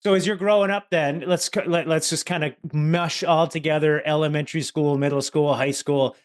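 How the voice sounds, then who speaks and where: A man's voice: a little high, fairly even intonation, moderate pace. A man hosting a podcast interview, friendly and affirming, asking long rambling questions. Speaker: podcast host. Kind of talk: casual interview conversation.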